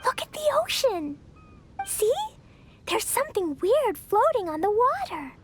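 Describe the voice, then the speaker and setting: An earnest little girl adventurer for a video game. The voice is tiny and extremely high, with lively swings and innocent bravery. Music plays softly behind. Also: a little slow, heavily acted. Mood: excited. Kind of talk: animated video game voice acting.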